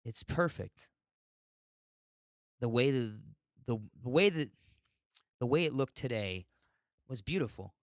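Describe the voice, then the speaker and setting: A man's voice: mid-range voice, expressive, takes it slowly, pauses often, no room sound, studio quality. An American man hosting a podcast alone, rambling and ranting in an unfiltered, sweary way. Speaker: podcast host. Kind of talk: rambling solo podcast monologue.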